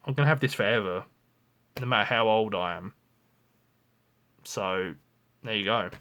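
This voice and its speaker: A man's voice: on the low side, moderate pace, fairly bright tone. Frank young man venting in a vlog. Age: 20s. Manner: frank, rambling, frequent swearing and restarts. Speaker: vlogger. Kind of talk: confessional vlog monologue.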